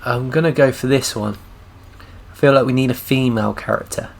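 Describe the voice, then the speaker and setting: A man's voice: mid-range voice, fairly even intonation, a little fast, vocal fry. A man giving casual gameplay commentary with wry, grumbling asides. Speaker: gaming commentator.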